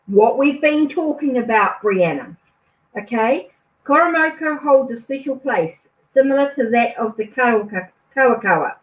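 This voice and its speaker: A woman from New Zealand with a New Zealand accent, giving a tarot card reading to a viewer in a reassuring way and often ending phrases with a questioning "okay?". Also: on the high side. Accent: New Zealand English. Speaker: tarot reader. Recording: echoey, distant, dull lo-fi sound.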